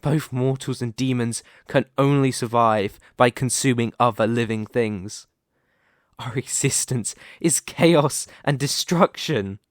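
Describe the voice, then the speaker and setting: Male actor as a demon king, slow deliberate pace, dry close sound, grandiose, possessive, seductive menace, ASMR roleplay. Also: medium pitch, dry recording, close to an everyday voice. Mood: grandiose, proud. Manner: theatrical, deliberate, condescending, grandiose. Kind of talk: scripted ASMR roleplay monologue.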